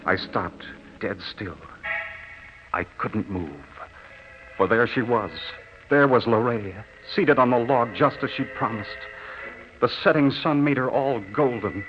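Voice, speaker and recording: Man acting a low-voiced young soldier, old crackly radio. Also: muffled recording, close to an everyday voice. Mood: hushed awe. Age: young adult. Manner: theatrical, drawn-out, dramatic delivery. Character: lovestruck young soldier returning home, earnest and eager. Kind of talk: old-time radio drama acting, narrated first person. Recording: noisy, band-limited old radio recording.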